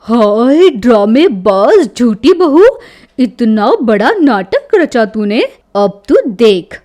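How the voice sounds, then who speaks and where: A woman's voice: fairly high pitch, very animated, takes it slowly. A woman voicing a theatrical, emphatic older mother-in-law in a family comedy story. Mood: menacing, indignant. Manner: loud, emphatic, dramatic. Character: gullible, theatrical older mother-in-law turning sternly vengeful.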